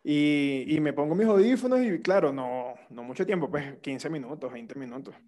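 Young Venezuelan man, casual co-host, slightly high voice, relaxed slangy delivery. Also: moderate pace. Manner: relaxed storytelling with slang and restarts. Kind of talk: casual chat.

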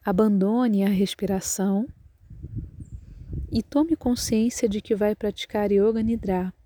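A Brazilian Portuguese-speaking woman guiding a relaxation meditation as a yoga nidra instructor, calm and measured in her instructions. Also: mid-range voice, a little hiss or hum.

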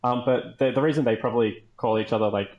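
A man's voice: mid-range voice, fast, lo-fi sound. A man talking casually as a guest on an esports panel show. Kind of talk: casual chat.

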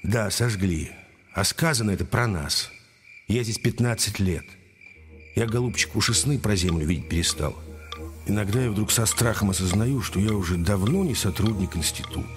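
A man playing a weary, wise older judge in a radio drama, speaking in a measured, paternal, admonishing way. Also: on the low side, expressive, faint music behind, natural voice. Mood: weary, reflective. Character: weary, wise older male judge, secretly an Earth historian.

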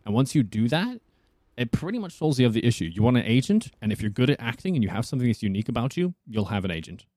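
A man from South Africa, an actor chatting casually on a podcast with a husky, soothing voice and a thoughtful manner. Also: mid-range voice, sing-song, quick pace, dark tone, no room sound.

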